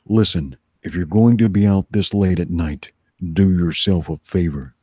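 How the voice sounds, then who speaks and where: A man playing a stern, unflappable vigilante hero in an amateur comic-book dramatization, delivered in a slow deadpan drawl. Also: low-pitched, slightly rough, dry recording, studio quality. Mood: deadpan, lecturing.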